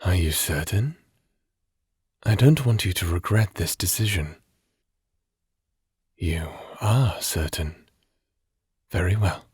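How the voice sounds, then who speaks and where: Man playing a very deep, slow, grave ancient being. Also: lively intonation, a little mellow, husky, no room sound, clean studio sound, full character voice. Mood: calm, measured. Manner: measured, deliberate, formal. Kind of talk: audio roleplay voice acting.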